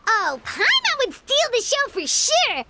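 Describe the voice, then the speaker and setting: A woman voicing a small, chatty, cheeky fairy companion in a game, squeaky and childlike, speaking of herself in the third person. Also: huge pitch swings, a little slow, heavy background noise, full character voice. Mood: cheeky, boastful. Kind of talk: animated game dialogue.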